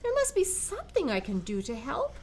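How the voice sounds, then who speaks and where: A woman's voice: high-pitched, huge pitch swings, brisk, a little mellow, slight background noise, pitched above the performer's own voice. A woman voicing a small, shy young reindeer who wants to help, in a storybook reading. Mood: eager.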